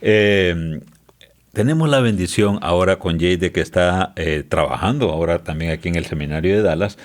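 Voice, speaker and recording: A man's voice: sits low, normal speed. A man hosting a podcast interview, speaking politely and hesitantly, with frequent filler sounds. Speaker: podcast host.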